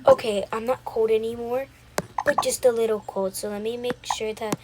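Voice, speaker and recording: A woman's voice: a little high, steady tone, moderate pace. A woman improvising a homemade doll role-play, talking casually and mumbling asides to viewers as she handles props. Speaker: storyteller voicing toy characters for a homemade video. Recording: very noisy home recording with clicks.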